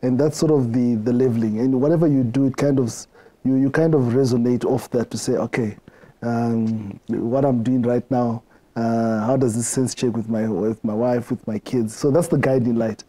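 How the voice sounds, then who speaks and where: Middle-aged guy w zimbabwean accent, mellow voice, chatting as interview guest, thinks out loud and restarts a lot. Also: medium pitch, fairly even intonation, moderate pace. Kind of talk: conversational interview answers.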